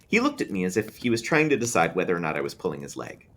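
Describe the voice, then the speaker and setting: A man reading an eerie story aloud as a librarian, in an expressive narrating style. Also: low voice, fast talker, a little hiss or hum.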